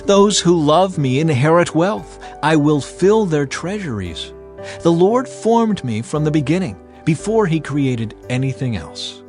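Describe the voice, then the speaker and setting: A man's voice: fairly high pitch, lively intonation, measured pace, a little mellow. A man voicing Wisdom, an ancient, commanding female spirit, in an earnest, declamatory dramatized scripture reading. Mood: proud, exalted. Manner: declamatory, proclaiming to a crowd. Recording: music behind the voice. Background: music.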